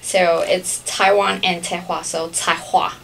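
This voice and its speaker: A woman's voice: on the low side, little pitch movement, unhurried, gravelly, a bit bright, poor audio. A young woman from Singapore, a pastry chef, answering interview questions casually with many fillers and little laughs. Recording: radio studio with faint noise.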